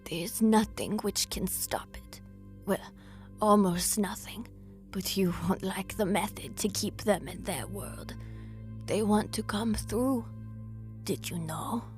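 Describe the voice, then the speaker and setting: A woman voicing an eerie old woman who guards cursed portals, speaking in a slow, hushed, raspy whisper. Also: mid-range voice, soft background music, lightly acted. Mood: ominous.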